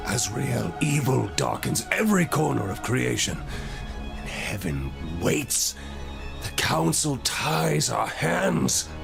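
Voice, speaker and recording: An actor playing a zealous, confident angel commander in a video game, measured and grandiose, with music behind him. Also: male voice, mid-range voice, big pitch swings, vocal fry, heavily acted. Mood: resolute. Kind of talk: dramatic video game character acting.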